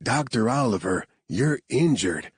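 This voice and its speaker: A man voicing a calm male medic who talks in a soothing drawl, in an audiobook. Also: mid-range voice, unhurried, slightly rough, voice pushed up, full character voice. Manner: calming drawl.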